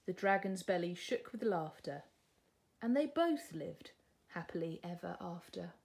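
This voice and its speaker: A woman's voice: lowish voice, animated, measured pace, creaky, a little mellow. A British woman in her forties narrating a children's storybook expressively, as a teacher would.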